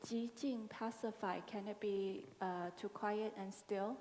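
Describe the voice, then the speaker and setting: A woman student asks her question slowly and hesitantly from far back in the room. Her mellow voice is faint and washed in hall reverb. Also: mid-range voice, fairly even intonation. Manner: quiet, hesitant question from the floor. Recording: distant in an echoey hall.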